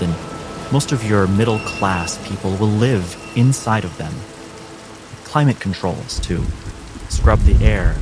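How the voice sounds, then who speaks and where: A man acting as game master, narrating a tabletop game cinematically in measured, deliberate phrases. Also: fairly low pitch.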